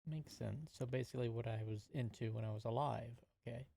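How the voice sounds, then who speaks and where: A man playing a tabletop roleplaying game, talking casually and haltingly as he feels out his questions. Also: mid-range voice, vocal fry, mellow, a little hiss or hum.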